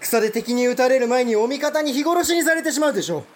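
High-voiced, forthright samurai lord. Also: male voice, fast. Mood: indignant. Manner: formal archaic warrior speech, forthright. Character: blunt, proud provincial samurai lord, openly indignant. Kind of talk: Japanese historical audio drama.

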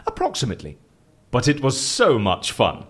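An actor playing a flustered, evasive and mischievous boss in a comedy audio drama, stammering and wheedling excitably. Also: male voice, mid-range voice, normal speed, slightly rough. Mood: breezy, reassuring. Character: flustered, evasive, mischievous male boss hiding his blunders.

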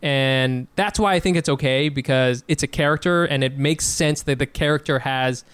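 A man's voice: mid-range voice, moderate pace, fairly bright tone, clear, resonant tone, no echo. A man co-hosting a casual comedy chat, telling stories animatedly and laughing often. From Vietnamese heritage.

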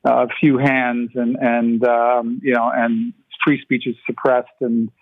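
An older man whose first language is Ukrainian, speaking as a radio interview guest in a halting way, with many restarts and fillers. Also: mid-range voice, level tone, no echo. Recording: phone-line audio.

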